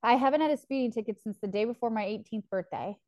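A woman's voice: fairly high pitch, quick pace, a little mellow, no room sound, clean studio sound. A woman in her twenties co-hosting a podcast, telling a long story in a rambling, casual way, full of "like" and swearing. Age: 20s. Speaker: podcast co-host. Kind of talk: casual chat.

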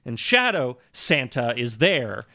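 A man's voice: mid-range voice, sing-song, slow pace, pushed and bright. A man co-hosting a podcast, giving casual comedic commentary in a wordy, mock-formal way. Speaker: podcast co-host.

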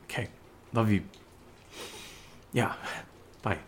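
An actor playing a frightened, exasperated man in a horror audio drama, stammering and halting when nervous. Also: fairly low pitch, slight background noise. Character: Steve, frightened, exasperated man investigating a creepy house.

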